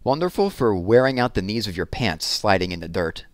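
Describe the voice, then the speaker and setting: A man's voice: on the low side, sing-song, a little fast, dry recording. A stern, loving storybook Ma, played by a male reader, speaks with firm disapproval and old-fashioned turns of phrase. She is skeptical, and the sound is dry and close. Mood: cold, skeptical. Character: stern but loving, skeptical working-class mother.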